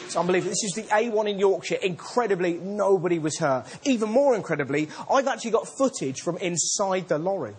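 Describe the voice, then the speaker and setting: A man's voice: high voice, expressive, fast talker, hardly any pauses. A man hosting a comedy show, delivering a jokey stand-up monologue. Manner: jokey, puts on silly voices. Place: TV studio with live audience. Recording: loud crowd and noise. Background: audience laughing.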